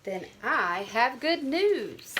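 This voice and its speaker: Woman playing matter-of-fact picture-book pig, cheerful, animated pitch, slow deliberate pace. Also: noisy background, full character voice. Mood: cheerful, helpful. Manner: simple, deliberate, emphatic delivery.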